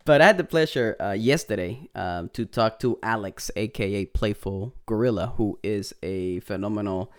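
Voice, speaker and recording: Profane rambling Puerto Rican podcast host. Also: male voice, lowish voice, normal speed, hardly any pauses. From Puerto Rico. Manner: rambling, profane, laughs often, restarts, mixes Spanish into English. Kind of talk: casual podcast intro and chat.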